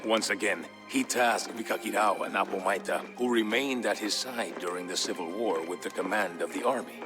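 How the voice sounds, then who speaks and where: An actor playing a male narrator who recounts an old legend in a measured, scripted storybook manner for a video game. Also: fairly low pitch, over music, close to an everyday voice. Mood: solemn storytelling.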